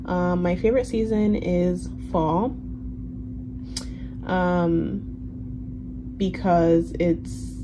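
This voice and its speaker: A young woman in her twenties whose first language is Haitian Creole, chatting casually in a relaxed, rambling way full of ums and likes. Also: fairly low pitch, very slow, long pauses. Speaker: planner vlogger. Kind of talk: casual Q&A chat over a plan-with-me. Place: indoors at a desk. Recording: audible background noise.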